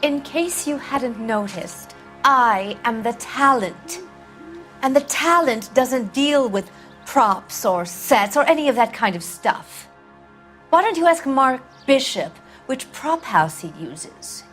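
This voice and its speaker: A woman's voice: high-pitched, animated, takes it slowly, a bit bright, faint music behind, heavily acted. A woman playing a vain, brassy, evasive American film actress of the 1940s in a video game drama, with a haughty, teasing, theatrical delivery. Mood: haughty, indignant.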